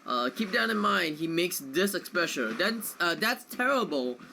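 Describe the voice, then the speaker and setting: A man's voice: high voice, sing-song, moderate pace, creaky, a little hiss or hum. A man giving casual live commentary on a video game as he streams it. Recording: game music under the voice. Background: loud game music.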